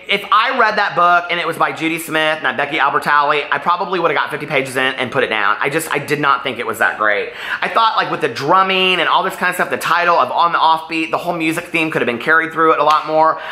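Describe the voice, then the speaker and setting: A man's voice: a little high, fast, few pauses, pushed and bright, roomy sound. A man talking casually in a book vlog, chatty, gushing and emphatic, wandering off on tangents. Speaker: book vlogger.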